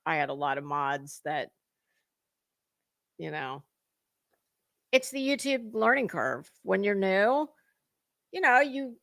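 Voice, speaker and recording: A woman's voice: mid-range voice, very animated, slow, gravelly, long pauses, fairly bright tone, no echo, studio quality. A woman hosting a livestream, chatting casually with viewers in a rambling, informal way. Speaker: livestream host.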